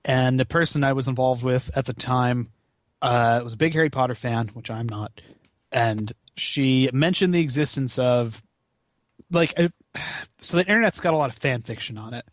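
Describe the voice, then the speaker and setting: A man's voice: medium pitch, moderate pace, no echo, clean studio sound. A man chatting casually as a podcast guest, wry and given to swearing. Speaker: podcast guest, charity gaming marathon organizer. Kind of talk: casual chat.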